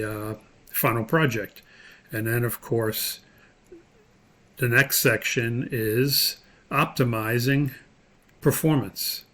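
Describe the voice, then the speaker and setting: A man's voice: medium pitch, a bit bright. Man giving an informal tutorial walkthrough. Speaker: course instructor. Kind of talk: screen-share tutorial walkthrough, unscripted explanation. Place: indoors, voice-over for screen recording.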